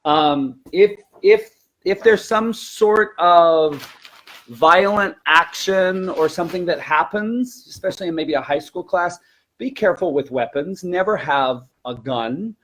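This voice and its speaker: A man's voice: high-pitched, expressive, measured pace, fairly bright tone. A man giving informal, emphatic instructional advice as a language teacher presenting a webinar.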